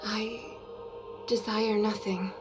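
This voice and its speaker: A woman voicing a gentle, devout young warrior in a video game, soft and earnest, with measured solemn delivery. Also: medium pitch, little pitch movement, music in the background, natural voice. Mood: solemn, wistful.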